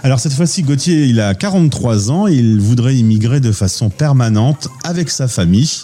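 A man hosting a podcast, relaxed, asking casual questions by posing example situations in the first person. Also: mid-range voice, brisk. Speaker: podcast host.

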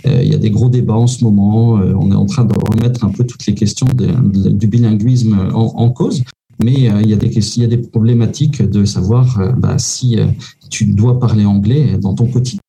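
A man talks through casual advice, stopping often on fillers. His voice is very dark and mellow. Faint music plays behind him. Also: lowish voice, fairly even intonation, a little fast, vocal fry, rough recording. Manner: hesitant, many "euh" fillers, informal. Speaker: immigration consultant guest.

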